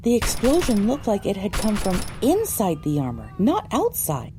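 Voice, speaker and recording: A woman's voice: medium pitch, wildly swooping pitch, normal speed, over music. A woman delivering scripted, first-person narration for an audio drama.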